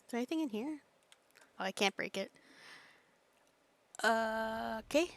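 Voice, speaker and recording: A woman's voice: fairly high pitch, lively intonation, measured pace, no room sound. A woman game streamer giving casual solo commentary, muttering reactions to herself as she plays.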